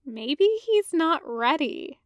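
A woman voicing a skeptical, sharp-tongued teenage girl in an audio story, pointed and incredulous. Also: high voice, very animated, slow, dry recording, studio quality, full character voice.

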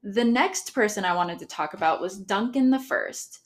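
A woman's voice: mid-range voice, expressive, moderate pace. A woman with an American accent hosting a history podcast, explaining casually, correcting herself and often laughing. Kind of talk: casual educational talk.

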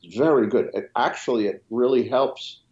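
A man's voice: medium pitch, moderate pace, a little mellow, highs cut off. A physician whose first language is French, explaining in a podcast interview, restarting and listing as he goes. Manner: explanatory, with frequent restarts and trailing lists. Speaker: physician guest.

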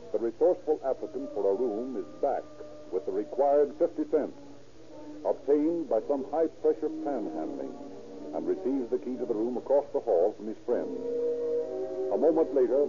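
A man narrating a scripted crime drama in 1930s radio style. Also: medium pitch. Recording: muffled, noisy old radio recording.

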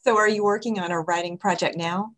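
A woman's voice: medium pitch, steady tone, brisk, a bit bright. A woman interviewer asking questions in a conversational interview.

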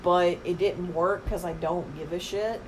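Middle-aged mom, very deep low voice, blunt and matter-of-fact, faint noise behind. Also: female voice, fairly even intonation, unhurried, low-quality recording. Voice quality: very deep voice for a woman. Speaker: guest, the host's mother. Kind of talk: casual chat.

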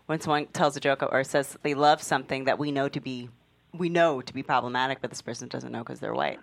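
A woman's voice: extremely low pitch, steady tone, fast talker, gravelly, dry recording. A woman chatting casually as a member of a podcast panel. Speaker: panel actor. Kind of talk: casual chat.

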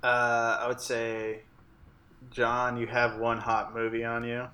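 A man's voice: medium pitch, completely flat delivery, takes it slowly, sharp tone. A man co-hosting a casual movie podcast, talking in a rambling way. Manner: rambling, many fillers and restarts. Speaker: podcast co-host.

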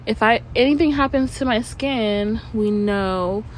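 A woman's voice: on the high side, a little slow, a little hiss or hum, poor audio. Stuffy-nosed young woman rambling at home. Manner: rambling, trips over words, swears casually. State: stuffy nose. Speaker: podcast host. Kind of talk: casual rambling monologue.

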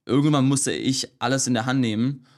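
A man's voice: medium pitch, fairly even intonation, brisk. A young man in his twenties, a guest coach talking casually in an interview, hesitant with many restarts and fillers, dropping in English words.

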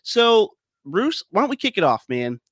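A man's voice: high-pitched, big pitch swings, normal speed, a bit bright, no room sound, studio quality. A male podcast host getting over a cold, a bit sniffly, talking in an upbeat, chatty way with lots of fillers. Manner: upbeat, chatty, many ums and uhs. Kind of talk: casual podcast chat.

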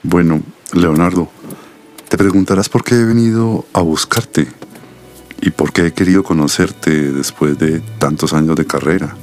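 A man's voice: sits low, moderate pace, darkish tone, natural voice. A man playing a serene pediatrician in his fifties, a grateful father with a Colombian accent, in an audio drama. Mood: curious, serene. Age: 50s. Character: serene middle-aged male pediatrician, grateful, protective father. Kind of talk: audiobook radio-drama acting. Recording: music behind the voice.

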